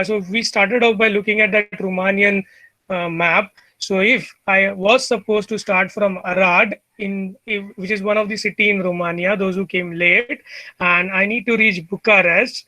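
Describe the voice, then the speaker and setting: A middle-aged man with an Indian English accent teaches an online class through his computer mic on a video call. His voice sits high for a man and sounds close and dry, with no room echo. He explains patiently, repeating and restarting phrases as he goes. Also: sharp tone. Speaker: university lecturer. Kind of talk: online lecture.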